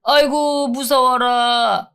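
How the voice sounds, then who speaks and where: A man voicing a sneering, wheedling male convict in drug withdrawal, who taunts and then begs with drawn-out sighs. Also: very high-pitched, lively intonation, pushed and bright, pitched above the performer's own voice, heavily acted. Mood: mocking.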